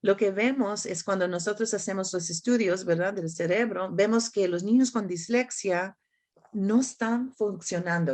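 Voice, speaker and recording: Slow-speaking woman. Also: medium pitch. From United States. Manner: halting, searching for words in a second language; often seeks agreement with tag questions. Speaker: academic expert giving a talk. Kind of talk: explanatory answers in a conference discussion.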